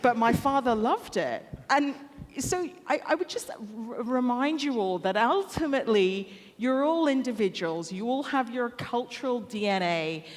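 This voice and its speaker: A woman's voice: a little high, animated, normal speed. A woman from Hong Kong speaking on a conference panel, telling anecdotes and addressing the audience directly. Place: large conference hall. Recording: hall reverb.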